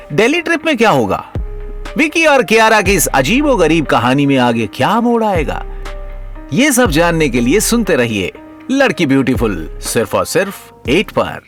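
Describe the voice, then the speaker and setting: A man's voice: on the high side, fairly bright tone. A man narrating a scripted audio story in a dramatic storytelling style.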